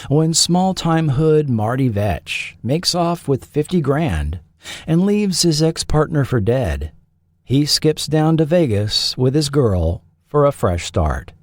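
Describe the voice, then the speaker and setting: Guy narrating tv history like a podcast host, slow and deliberate, pitch moves around a lot. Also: sing-song, no room sound, clean studio sound.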